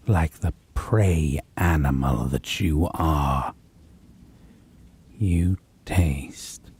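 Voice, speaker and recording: A man playing a possessive, menacing male vampire in a dramatic audio roleplay, husky and breathy, with taunting, drawn-out phrasing and whispered words. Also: extremely low pitch, darkish tone, exaggerated voice. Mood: predatory hunger. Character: possessive, menacing, predatory male vampire, wounded yet domineering.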